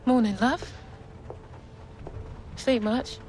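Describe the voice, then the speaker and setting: A woman's voice: a little high, fairly even intonation, very slow. An actress playing a tender, protective middle-aged mother in a game cutscene. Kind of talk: video game cutscene acting. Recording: faint music behind the voice.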